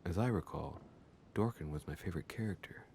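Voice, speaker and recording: An actor playing a gentleman investigator quietly musing to himself in a video game. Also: low voice, brisk, gravelly, a little hiss or hum. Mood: quiet, curious. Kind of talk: scripted video game acting.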